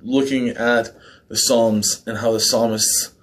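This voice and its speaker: A man in his thirties, slightly hoarse, hosting a casual men's devotional from his living room, talking in a relaxed way with fillers and small asides. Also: mid-range voice, slow. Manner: relaxed and conversational, with filler words and small laughs. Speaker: men's ministry devotional host. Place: living room by a fireplace, indoors. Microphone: fixed camera at mid distance.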